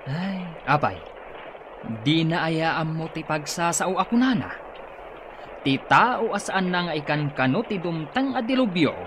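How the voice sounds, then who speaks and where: Chiding Ilocano elder, noisy surroundings. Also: male voice, fairly high pitch, expressive, a little slow. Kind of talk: Ilocano radio comedy-drama acting. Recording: noisy outdoor ambience behind voice.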